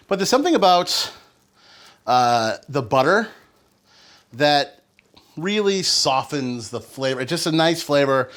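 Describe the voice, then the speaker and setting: A man's voice: on the high side, fairly bright tone. A man hosting a home cooking show, talking casually and rambling into playful, joking asides. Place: home kitchen.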